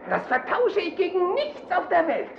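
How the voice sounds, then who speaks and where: A woman voicing a solemn, proud sea-loving ship's sprite with a creaky voice in a German radio play. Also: extremely high pitch, wildly swooping pitch, moderate pace, heavily acted. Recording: muffled old recording with some noise.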